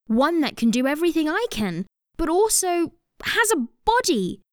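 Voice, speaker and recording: This is a gossipy, excitable female robot assistant in a children's audio drama. The voice is very high and leaps around with lots of expression. The recording is close and dry. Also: normal speed, dry recording. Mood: excitable, confessing.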